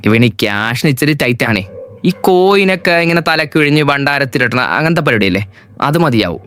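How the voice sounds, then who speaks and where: A man's voice: on the high side, animated, fairly quick, fairly bright tone, a little hiss or hum. A man playing a cheeky, meddling friend who teases and wisecracks in a comedy cartoon. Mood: nosy, bargaining. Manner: wisecracking, teasing. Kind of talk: comedy cartoon skit dialogue.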